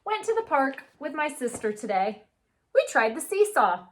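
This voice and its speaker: A woman's voice: sits high, sing-song, normal speed. A woman teacher reading a children's storybook aloud with chatty asides, putting questions to young listeners and answering them. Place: indoor reading nook at home.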